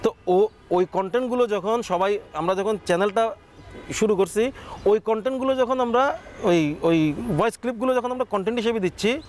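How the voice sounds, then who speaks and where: A man's voice: high voice, moderate pace, a little mellow, a little hiss or hum. A young man with a Chattogram accent, a comedy content creator giving casual interview answers. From Chattogram, Bangladesh.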